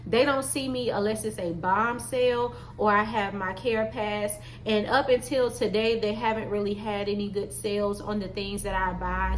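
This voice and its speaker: A woman's voice: mid-range voice, poor audio. Woman vlogging, chatty and folksy, calls folks y'all, bit of background noise. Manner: chatty, folksy, addresses viewers as y'all and child. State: nasal and congested. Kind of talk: casual vlog chat.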